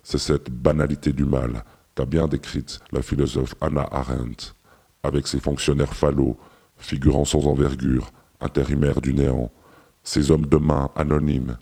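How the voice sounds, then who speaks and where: A man narrating a radio documentary in a literary, deliberate scripted style. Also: very deep voice, fairly even intonation, dark tone, slightly rough.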